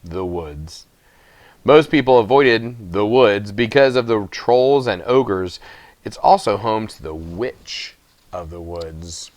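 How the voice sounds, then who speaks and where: A man's voice: fairly low pitch, animated, slow pace, no echo. Man reading a storybook, dry sound. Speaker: audiobook reader. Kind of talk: expressive storybook narration.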